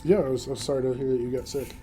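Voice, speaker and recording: A man's voice: medium pitch, steady tone, racing, background noise. A man hosting a casual comedy podcast, joking around with many fillers and frequent laughs. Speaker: podcast host.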